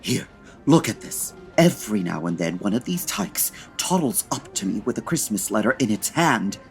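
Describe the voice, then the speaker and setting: A man's voice: on the low side, big pitch swings, normal speed, gravelly. Guy playing campy dramatic department store jack frost, effusive, swoopy voice, music under it. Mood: anxious, dramatic. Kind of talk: comedy audio drama acting.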